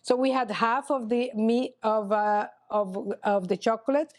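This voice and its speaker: A middle-aged woman, a chocolatier guest speaking in a casual interview, halting with many restarts and "you know". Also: on the high side, steady tone, a little mellow. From Honduras. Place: chocolate shop cafe.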